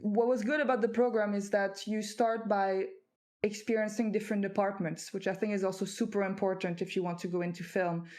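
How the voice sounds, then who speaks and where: A woman from France whose first language is French, talking as an interview guest. Her voice sits in a middle pitch, and her delivery is reflective and unhurried. She pauses on fillers as she gathers her thoughts. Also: steady tone, a little mellow. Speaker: guest author. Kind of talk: conversational interview.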